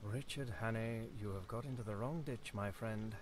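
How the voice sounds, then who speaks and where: Stern threatening guy in a game voice, low, kinda far off and noisy. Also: moderate pace, natural voice. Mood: menacing.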